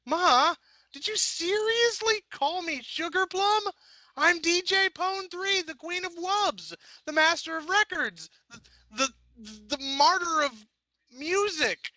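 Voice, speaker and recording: A man puts on a high, squealing pony girl, a rough-edged tomboy DJ in a storybook reading who stammers and whines in a panic. the voice is very bright and sharp, recorded close and dry. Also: animated, slow, dry recording, heavily acted, poor audio. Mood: whiny. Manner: slangy, stammering in alarm. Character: brash tomboyish young mare DJ, panicky.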